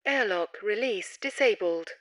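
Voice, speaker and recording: A woman voicing a calm automated station computer, making measured, formal announcements in a sci-fi audio drama. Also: medium pitch, very noisy, close to an everyday voice. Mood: impersonal, procedural.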